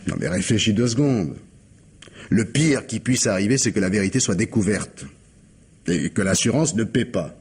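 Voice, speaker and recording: An actor playing a scheming, persuasive husband in a French radio drama, with an accent from France and an insistent, coaxing way of reasoning. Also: male voice, on the low side, lively intonation, normal speed, a little mellow, husky. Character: scheming, persuasive husband desperate to save his home.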